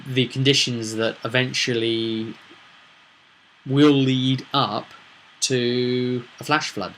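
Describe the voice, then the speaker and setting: Over noticeable background fan noise, a British man speaks in a bright, forward voice at a middling pitch. He explains tabletop game mastering in a casual vlog style, pausing and restarting, then leaning hard on important words. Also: poor audio. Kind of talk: casual explanatory vlog about a roleplaying session.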